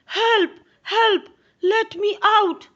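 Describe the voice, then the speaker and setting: High little boy voice from a woman reading, stubborn kid, slow and dull sounding. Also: fairly bright tone. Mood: panicked. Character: stubborn young boy, rude then sorry.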